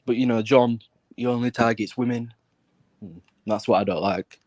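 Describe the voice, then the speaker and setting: British male, mid-pitched, blunt and sweary, insistent casual chat, dry recording. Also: fairly even intonation, moderate pace, darkish tone, dry recording.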